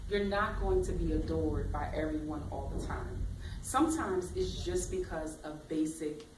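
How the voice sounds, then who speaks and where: Woman career coach, bold and expressive, low-pitched; distant echoey recording. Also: a little slow, background noise. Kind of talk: interview answers, motivational advice. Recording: echoey room, mic at a distance.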